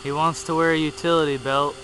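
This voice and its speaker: Man, flat delivery, casual outdoor commentary, handheld camera mic, noisy hum in background. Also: a little high, a little fast. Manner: plain, matter-of-fact play-by-play. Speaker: camera operator narrating a bee removal. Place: outdoors under trees at a bee removal. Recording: camcorder audio with clear hum and background noise.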